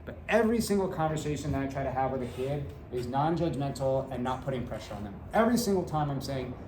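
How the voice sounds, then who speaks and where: A man's voice: mid-range voice, lively intonation, fast, a little mellow. Guy teacher in his 40s talking to parents, earnest, lots of uhs, roomy classroom echo, faint hum. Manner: earnest, gestures a lot, many "uh" fillers. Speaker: seventh grade teacher. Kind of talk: back-to-school talk to parents. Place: classroom in front of a whiteboard. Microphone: fixed camera at a few meters. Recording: echoey classroom from the camera.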